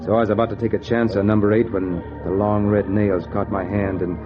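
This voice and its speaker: An actor playing a cocky, money-hungry gambler in a 1940s radio noir, with clipped, wry, slangy delivery. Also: male voice, on the low side, level tone, brisk, natural voice. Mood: wry, tense. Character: hard-boiled, cocky male gambler, broke and money-hungry. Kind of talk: 1940s radio noir acting, first-person narration. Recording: dull vintage radio recording with music. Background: music.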